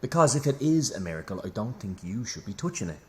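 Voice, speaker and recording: A man's voice: on the low side, sing-song, fast, darkish tone. An actor playing a devout, anxious male news cameraman in an audio drama, with an earnest, cautious manner. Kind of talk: naturalistic audio drama acting.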